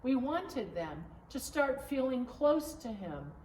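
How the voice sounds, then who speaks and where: A woman reads a children's storybook aloud as narrator, phrase by phrase in a deliberate way. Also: high voice, sing-song, a little mellow. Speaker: pastor reading a storybook. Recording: echoey room, distant mic, faint noise.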